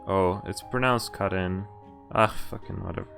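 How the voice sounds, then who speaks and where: Very deep-voiced man streaming a game. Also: vocal fry, music in the background. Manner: hesitant, halting, swears casually. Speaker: streamer playing and reading a visual novel. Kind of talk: casual game walkthrough with read-aloud narration.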